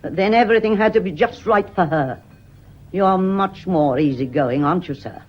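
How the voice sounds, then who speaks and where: An actress playing a bitter, fiercely loyal housekeeper in a radio mystery, stiff and defensive. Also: female voice, lowish voice, normal speed, husky, highs cut off. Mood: stiff, disapproving. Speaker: actor in a radio play. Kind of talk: radio mystery drama acting.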